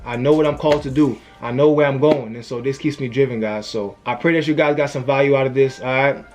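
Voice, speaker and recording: A man's voice: medium pitch, fast, a little mellow, soft background music. A young man giving an earnest, casual motivational talk to camera as a YouTuber. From family from Haiti, raised in the US. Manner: earnest, conversational, frequent "you know what I'm saying".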